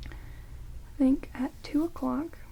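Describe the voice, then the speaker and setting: A woman playing an ancient, quirky vampire in an ASMR roleplay, speaking in halting, hushed whispers and soft murmurs. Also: sits high, steady tone, creaky, heavily acted, poor audio. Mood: musing, deadpan. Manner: hushed, rambling, halting, drawn-out words. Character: ancient vampire, quirky, blunt yet caring, bandaging wounds. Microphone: close binaural microphones. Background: heavy rain.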